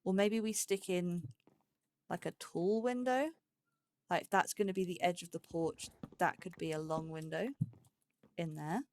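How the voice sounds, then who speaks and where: A woman's voice: lowish voice, steady tone, unhurried, pauses often, a little mellow. A woman giving casual let's play commentary, musing aloud and questioning herself as she goes. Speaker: host of a gaming let's play series.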